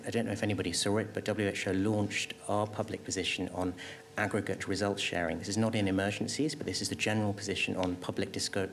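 A middle-aged man speaking as an expert panelist, answering off the cuff at a table microphone with halting restarts and emphatic repetition. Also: low voice, gravelly. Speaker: panelist, health agency official. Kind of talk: panel discussion answer, spontaneous expert talk. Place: conference auditorium panel table.

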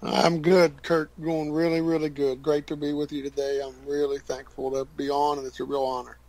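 A man's voice: a little high, level tone, a little mellow, poor audio. Earnest Kentucky man telling his story. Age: 40s. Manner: earnest, halting, many fillers and restarts. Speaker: guest. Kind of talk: personal story in a radio interview.

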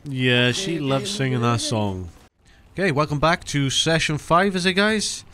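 A man's voice: mid-range voice, unhurried, a crowd in the background. A man hosting a co-op video game session, chatting casually with the other players. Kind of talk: casual gaming chat.

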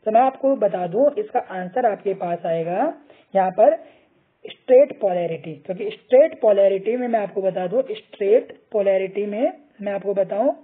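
A man's voice: high voice, normal speed, slightly rough. A male teacher patiently going through quiz questions and answers, reading each option aloud and mixing in English technical terms. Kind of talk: explaining quiz answers over slides. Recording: tinny phone-like sound.

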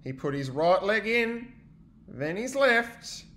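A man giving an expressive, child-directed storybook read-aloud, like a teacher, with chatty asides. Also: high voice, slow pace.

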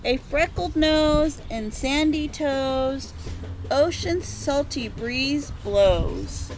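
A woman in her forties, a preschool teacher, reads a rhyming picture book aloud to children and stops to ask them gentle questions. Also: high voice, slight background noise, rough recording. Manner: slow rhythmic rhyme reading with gentle questions to children. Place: outdoors on a front porch. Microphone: phone or laptop camera at close range.

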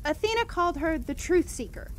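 Chirpy young sidekick, woman acting, extremely high, swooping voice. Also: lively intonation, a little fast. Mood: eager. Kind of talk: audio drama acting.

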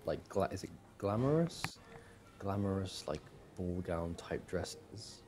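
A man acting as a doting, smitten young boyfriend on a shopping date in an audio roleplay, speaking in a hesitant, halting, affectionate way. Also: low-pitched, expressive, noisy background. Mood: fond, playful. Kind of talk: boyfriend ASMR roleplay, acted one-sided conversation.

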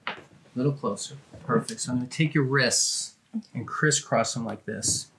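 A man's voice: medium pitch, moderate pace, a bit bright. Man giving instructions over noisy background. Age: middle-aged. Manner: short plain directives. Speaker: chiropractor. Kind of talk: casual hands-on instructions to a patient. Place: small indoor treatment office. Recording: clearly noisy room with clicks.

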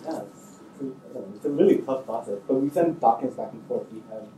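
Mellow, muffled-toned man thinking aloud. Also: medium pitch, quick pace. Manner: informal, hesitant, thinking aloud. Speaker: screenwriter on a panel. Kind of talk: casual spoken answers at a public talk. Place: indoor stage in an auditorium, seated. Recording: roomy, distant miking, faint noise.

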